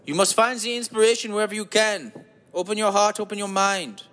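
Dude doing a goofy flirty german guy, high voice, thick silly accent, creepy and campy, rambly podcast bit. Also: fairly quick, a bit bright. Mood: encouraging, flirty. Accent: exaggerated German.

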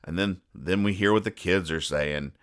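A man hosting a podcast, describing comic strips in a halting, deadpan, mock-exasperated way. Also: deep voice. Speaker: podcast host. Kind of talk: comic commentary reading newspaper comics aloud.

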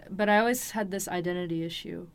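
A woman's voice: fairly low pitch, fast, creaky. A woman, telling a personal story in an interview, hesitantly piecing her thoughts together. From Los Angeles, USA. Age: 20s. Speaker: interview guest. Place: recording studio.

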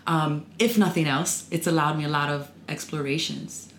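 A woman's voice: low-pitched, steady tone, moderate pace, slight background noise. A woman poet whose first language is Spanish, talking reflectively about language and home in an interview. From United States. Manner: thoughtful, reflective, laughs easily. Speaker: poet and author guest. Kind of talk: casual interview conversation. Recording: echoey room with faint ambience.

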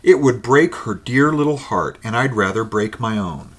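A man's voice: mid-range voice, lively intonation, moderate pace, a bit bright, pitched above the performer's own voice. A man voicing an earnest, nervous young Puritan man, pleading a little in archaic speech.

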